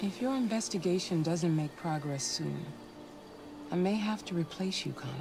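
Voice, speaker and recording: An actress playing a cool, controlling female AI handler in a video game, stern and measured. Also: lowish voice, expressive, background noise. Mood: cool, disapproving. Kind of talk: video game cinematic acting.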